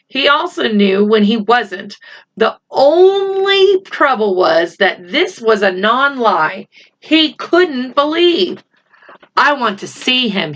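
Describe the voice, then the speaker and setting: A woman reading a children's novel aloud in a deliberate storytelling manner. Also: mid-range voice, a bit bright. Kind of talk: read-aloud story narration with voiced dialogue. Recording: slightly dull, lo-fi home recording.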